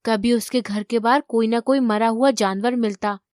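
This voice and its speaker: High-voiced woman narrating a story. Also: steady tone, normal speed, heavy background noise.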